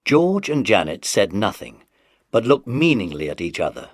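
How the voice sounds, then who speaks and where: British man, children's story narrator, expressive range, husky edge, dry studio sound. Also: lowish voice, moderate pace, no room sound. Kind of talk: scripted children's audiobook narration.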